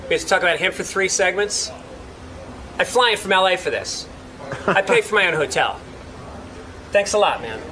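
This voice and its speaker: A man's voice: high-pitched, normal speed, long pauses, sharp tone, rough recording. A man, a radio show guest, complaining in an aggrieved, sarcastic comic way. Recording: clear background noise.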